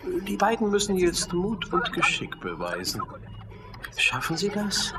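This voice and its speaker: An actor playing a confident, evasive doctor who designs a game world, in a radio drama. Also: male voice, high-pitched, very animated, unhurried, very noisy.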